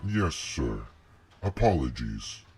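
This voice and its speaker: A man's voice: a bass voice, sing-song, takes it slowly, vocal fry, full character voice. A man playing a dutiful military subordinate in a sci-fi audio drama, formal and deferential toward his superior. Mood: dutiful, cautious. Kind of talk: scripted sci-fi audio roleplay acting. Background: background music.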